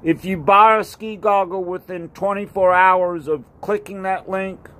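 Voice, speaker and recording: Older man, phone video outdoors; slow, halting, filler-heavy delivery, slightly raised pitch. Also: fairly even intonation, fairly bright tone. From North Carolina. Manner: rambling and halting, with many fillers and repeated thanks. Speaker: ski racer and video maker. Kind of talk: casual home video. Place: outdoor porch. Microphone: phone camera at arm's length.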